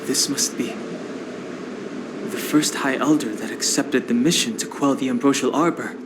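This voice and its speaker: An actor playing a composed young man who explains things quietly and calmly. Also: mid-range voice, normal speed, heavy background noise, lightly acted.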